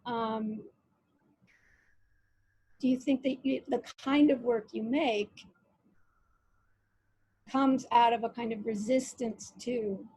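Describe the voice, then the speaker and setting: A woman hosting a conversational interview over a video call, reading passages aloud and talking with frequent pauses and fillers. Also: on the high side, dark tone, breathy edge, no room sound, low-quality recording. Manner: hesitant, reads quotes aloud, many fillers. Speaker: interview host.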